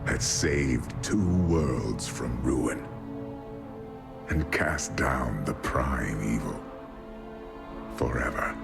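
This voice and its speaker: Deep, grave angelic narrator. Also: male voice, vocal fry, full character voice. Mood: solemn, reflective. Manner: measured, grave, reflective. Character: solemn noble male angelic guardian narrating. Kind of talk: solemn dramatic game narration. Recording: loud music under the voice.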